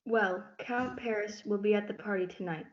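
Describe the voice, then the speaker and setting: A woman's voice: medium pitch, moderate pace, lightly acted. A female student performer playing a proper noblewoman and persuasive mother in a reader's theatre play. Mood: formal, persuasive. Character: proper noble mother urging her young daughter to marry. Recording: muffled lo-fi audio, noticeable noise.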